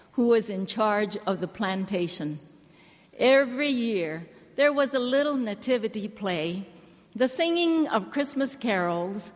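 A woman from Hawaii giving an earnest, gentle church address to a women's gathering. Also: fairly high pitch. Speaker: church speaker. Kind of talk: measured address to a women's conference. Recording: large hall reverb.

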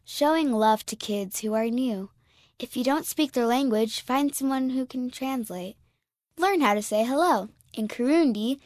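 A woman's voice: on the high side, a little mellow, no room sound, clean studio sound. Woman reading a children's magazine aloud. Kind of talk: scripted narration.

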